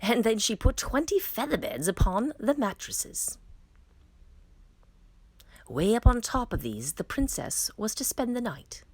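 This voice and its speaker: A woman narrates a children's fairy tale with very animated intonation. Her voice is husky and breathy, recorded close and dry, and she leans dramatically into key words. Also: on the high side, measured pace, no echo. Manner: dramatic storytelling with emphatic swells. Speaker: storytime reader. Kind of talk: expressive scripted fairy tale read-aloud.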